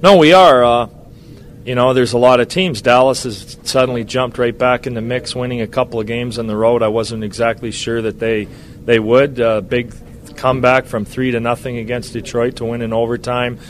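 A man in his fifties, a hockey head coach, talking casually on a radio show, with lots of pauses, "uh"s and restarts. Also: mid-range voice, fairly even intonation, a little hiss or hum. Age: 50s. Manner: rambling, many uhs and restarts.

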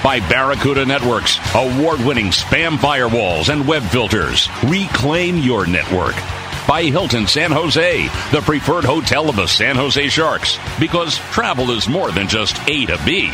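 Lively radio announcer reading sponsors. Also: male voice, medium pitch, unhurried, music in the background. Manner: announcer cadence. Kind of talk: scripted announcements and sponsor reads.

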